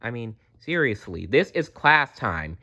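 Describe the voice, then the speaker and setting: A man's voice: mid-range voice, sing-song, measured pace, pushed and bright, lo-fi sound, heavily acted. A man voicing a stern, exasperated female schoolteacher who scolds earnestly in a children's storybook.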